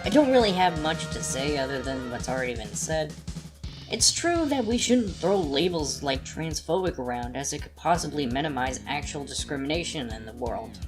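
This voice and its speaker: Female commentator, low register, casual and hesitant, clear music underneath. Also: sing-song, normal speed. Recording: music behind voice.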